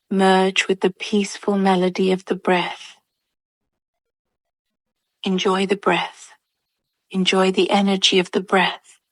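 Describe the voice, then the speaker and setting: A woman's voice: mid-range voice, dry recording. A woman narrating a guided meditation in a soothing, instructional way.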